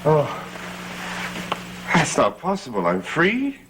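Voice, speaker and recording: A man's voice: on the high side, huge pitch swings, takes it slowly, heavily acted. A man playing the imperious, tormented master of a gothic hall in a TV soap, with formal, dramatic delivery. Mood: dazed, anxious. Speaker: actor in a TV serial. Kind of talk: gothic soap opera acting. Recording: old TV soundtrack, music, hum.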